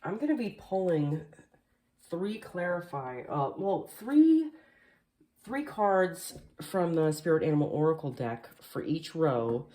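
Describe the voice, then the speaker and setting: A woman reading tarot cards as a casual voice-over, sounding a little nasal and hoarse from allergies, chatty and full of "like" and "um". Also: low voice, very animated, slow, warm tone. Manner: chatty, filler-heavy, frequent "like" and "um". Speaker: tarot reader. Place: home, voice-over behind the camera.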